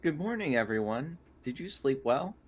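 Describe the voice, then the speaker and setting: A man's voice: medium pitch, very animated, normal speed, voice pushed up. A man playing a polite, literal-minded female android, speaking formally and without emotion in a tabletop game.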